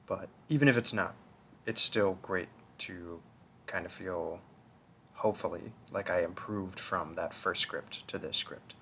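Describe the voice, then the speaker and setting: A soft-spoken man giving a casual, earnest outro to his listeners as an audio creator, with a hesitant delivery. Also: sits low, takes it slowly, vocal fry, pauses often, slight background noise.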